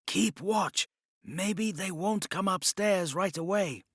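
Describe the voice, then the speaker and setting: Man voicing a serious, protective youth, pitched up. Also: takes it slowly, fairly bright tone, husky, no echo, clean studio sound, full character voice. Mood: tense. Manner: urgent, serious. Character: older youth, cautious, protective, brave male thief. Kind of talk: audiobook character dialogue.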